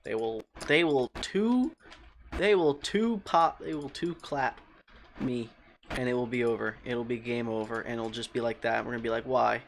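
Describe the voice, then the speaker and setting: A man livestreams a game in a calm, easygoing voice that sits at an ordinary middle pitch. He rambles as he thinks out loud and replies to his chat. Faint keyboard clicks and a light hiss sit under him. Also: normal speed, gravelly. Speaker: game streamer. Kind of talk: casual livestream chat while playing.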